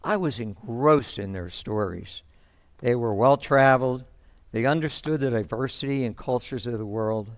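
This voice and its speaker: A man playing a reflective, sentimental storyteller, telling a first-person monologue in measured phrases. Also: mid-range voice, no room sound, lightly acted. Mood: fond. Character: reflective, sentimental male storyteller recalling his upbringing.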